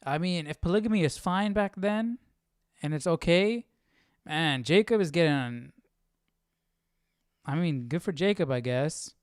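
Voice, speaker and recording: Guy thinking out loud on a bible study stream, informal n a bit halting, smooth clean voice, really dry close sound. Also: fairly high pitch, no echo. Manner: informal, hesitant, thinking aloud. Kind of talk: casual live commentary.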